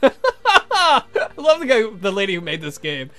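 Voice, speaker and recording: A man's voice: high voice, wildly swooping pitch, unhurried, a bit bright, soft background music. A man hosting a let's play, reading a visual novel aloud and adding irreverent, crude joking commentary.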